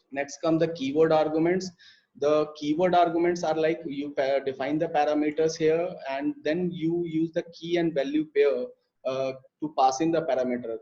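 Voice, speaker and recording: A man's voice: fairly high pitch, mellow. A young man teaching a live programming lesson, explaining step by step with frequent fillers and restarts. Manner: hesitant, many fillers and restarts while explaining code. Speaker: programming instructor. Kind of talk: live online coding lesson. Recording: muffled, lo-fi live stream audio.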